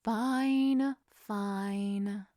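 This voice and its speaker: A giddy young Regency lady from a romantic story, acted by a woman narrator. She speaks in a dreamy, drawn-out way, playful and unguarded. The sound is dry and close. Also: mid-range voice, fairly even intonation, dark tone, dry recording.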